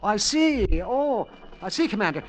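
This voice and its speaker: Excitable high-voiced nervous schoolteacher. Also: male voice, a little slow. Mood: eager, deferential. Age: middle-aged. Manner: flustered, stammering, deferential. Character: henpecked, eager, nervous amateur-scientist schoolteacher husband. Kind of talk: 1940s-50s radio drama acting. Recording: noisy, crackly vintage radio recording.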